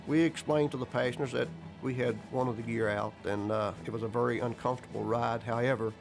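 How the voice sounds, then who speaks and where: A man's voice: medium pitch, fairly even intonation, fairly quick, vocal fry, low-quality recording. A man, an airline captain, recalling events in a documentary interview in a plain, matter-of-fact way with folksy grammar. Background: background music.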